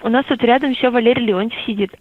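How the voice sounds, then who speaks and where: A woman answering a phone call, patient and deadpan, keeping a dry tone. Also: on the high side, very fast, no room sound. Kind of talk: phone conversation.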